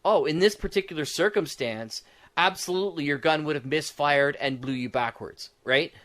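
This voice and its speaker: A man's voice: on the high side, moderate pace, fairly bright tone. A man speaking as a podcast guest in casual chat, rambling a little and illustrating his points with acted-out examples. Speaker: podcast guest, audio drama writer.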